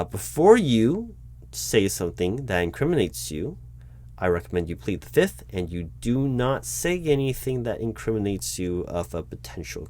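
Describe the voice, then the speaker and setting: Patient low-voiced man running a mock interview. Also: darkish tone. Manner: patient, slightly exasperated, bureaucratic, with frequent ums and restarts. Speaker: recruiting manager in a roleplay interview. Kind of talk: ASMR-style job interview roleplay. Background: keyboard typing.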